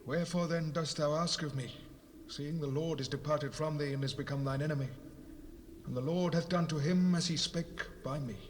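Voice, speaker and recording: A man's voice: on the high side, fairly even intonation, moderate pace, lightly acted. Reverberant ghost of a stern prophet, acted by a man. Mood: stern, condemning. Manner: solemn, reproachful, declaiming. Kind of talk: dramatized Bible acting. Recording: long reverb, noisy 1970s cassette rip.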